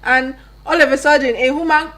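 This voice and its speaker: A Nigerian woman co-hosting a casual radio chat, excitedly recounting scenes from a film. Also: sits high, fairly quick. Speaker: radio co-host. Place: radio studio.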